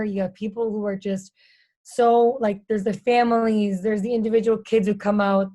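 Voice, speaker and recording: A young woman with a raspy, fairly high voice talks through a relaxed podcast interview. She chats openly and candidly, stringing her thoughts together with plenty of "like"s. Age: 20s. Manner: chatty and candid, fast run-on sentences full of "like". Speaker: guest, stadium and social media host.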